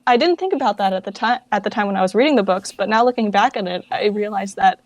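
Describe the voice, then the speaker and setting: A woman's voice: mid-range voice, fast, no room sound. A woman chatting casually as an interview guest. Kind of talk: casual chat.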